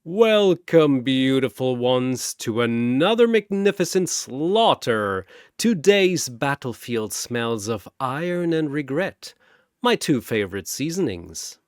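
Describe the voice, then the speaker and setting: A man's voice: medium pitch, sing-song, takes it slowly, smooth, dry recording, clean studio sound. A man voicing a flamboyant male arena announcer who relishes slaughter, speaking with theatrical showmanship. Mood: gleeful. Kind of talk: dramatized announcer voice in a narrated story.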